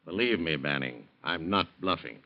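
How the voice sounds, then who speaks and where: A man's voice: very deep voice, expressive, moderate pace. A smug, bullying American racketeer in a vintage radio crime play. He taunts in tough slang, with a sneer and total confidence. The recording is dull and lo-fi, like an old broadcast. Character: cocky scheming crook boss, bullying and sneering. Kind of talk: old-time radio crime drama acting.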